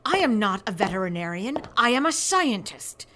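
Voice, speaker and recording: Cold impatient female scientist, medium pitch, formal clipped delivery, audio drama, noisy backdrop. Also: big pitch swings, normal speed, bright, forceful tone. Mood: imperious. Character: haughty, impatient, coldly sarcastic female scientist.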